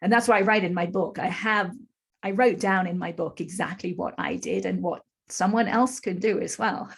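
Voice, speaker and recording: A woman's voice: on the low side, moderate pace, creaky, husky. A woman in her fifties, a podcast guest and spiritual teacher, explaining her experience in an earnest, flowing way. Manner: earnest, explanatory, speaks in long flowing runs. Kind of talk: conversational storytelling interview.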